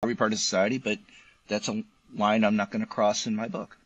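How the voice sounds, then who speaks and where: Male interview guest, novelist type. Slightly low, husky voice with plain conversational delivery. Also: level tone, fast, fairly bright tone.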